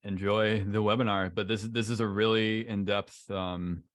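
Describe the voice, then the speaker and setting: A man with a very low voice co-hosting a webinar. He talks in a relaxed, halting way and reads slides aloud, recorded dry and close. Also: no echo. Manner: relaxed, halting, says "like" and "basically" a lot. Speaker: podcast co-host. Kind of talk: casual webinar talk and slide reading.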